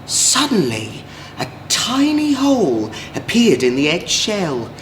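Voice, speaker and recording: A man with an English accent, a drag queen storyteller, reading a children's story in an expressive, gentle way. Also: sits high, slow. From Manchester, England. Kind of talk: children's storybook read-aloud. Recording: echoey room, clear background noise.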